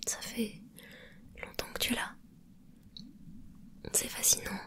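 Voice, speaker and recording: A woman voicing a gentle, caring mermaid in an ASMR roleplay, whispering softly and reassuringly with a curious, playful touch. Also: natural voice. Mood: soothing whisper. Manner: soft whispering, slow and soothing. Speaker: ASMR creator.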